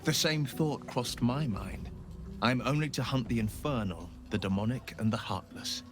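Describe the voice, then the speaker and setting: A man's voice: medium pitch, normal speed, music in the background, close to an everyday voice. A man playing an earnest, heroic devil hunter in a video game, speaking with solemn, dramatic feeling. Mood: grim, resolute. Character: earnest heroic male devil hunter bound by infernal pact. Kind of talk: video game character acting.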